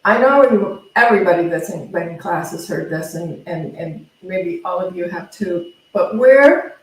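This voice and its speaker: A woman's voice: fairly low pitch, expressive, normal speed. A woman minister giving an informal spiritual teaching talk, conversational and self-deprecating, repeating words for emphasis. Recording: very echoey room, distant microphone.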